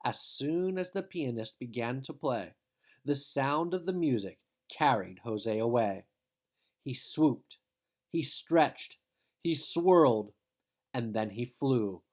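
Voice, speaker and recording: A man reading a children's picture book aloud in an expressive, dramatic storytelling style. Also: medium pitch, slow. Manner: dramatic, with emphatic exclamations.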